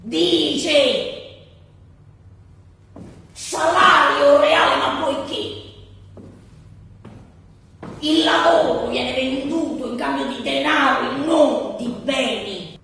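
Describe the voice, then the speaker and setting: An actress playing a pedantic economist in a satirical stage lecture, didactic, halting and emphatic. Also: female voice, sits high, vocal fry, fairly bright tone, exaggerated voice. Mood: pedantic, insistent. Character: pedantic female economist lecturing on wage formulas. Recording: very echoey hall, distant mic.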